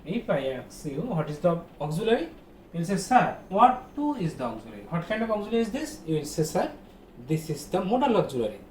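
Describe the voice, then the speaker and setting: A man with a Bangladeshi accent teaches in a high, very animated voice. He repeats key phrases and puts questions that he answers himself, in the manner of a school lesson. The sound is echoey, as from a room. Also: fairly quick. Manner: didactic, repetitive, asks and answers own questions. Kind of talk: online grammar lesson. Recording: roomy echo, distant mic, faint noise.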